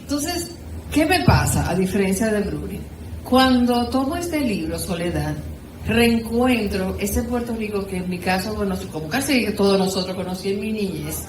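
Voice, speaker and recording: A woman's voice: medium pitch, lively intonation, moderate pace, a little mellow. Woman in a noisy, echoey room. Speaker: book club participant. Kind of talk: informal spoken reflection at a literary gathering. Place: echoey room at a live group gathering. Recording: echoey room, clear background noise.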